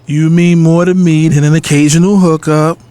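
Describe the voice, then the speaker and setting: A man's voice: a little high, little pitch movement, normal speed, warm tone, smooth, dry recording, lightly acted. A man playing a cocky, lovestruck adult son, telling his own story candidly and intimately in an audio drama. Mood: defensive. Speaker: narrator and lead character. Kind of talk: first-person audio drama narration and dialogue.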